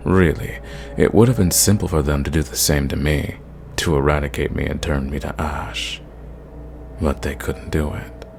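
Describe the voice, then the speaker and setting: A man voicing a centuries-old sadistic vampire in an ASMR roleplay, possessive and condescending, savoring his words and sometimes dropping to a breathy whisper. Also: fairly even intonation, normal speed, darkish tone, faint music behind. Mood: bitter, brooding. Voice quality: deep, gravelly.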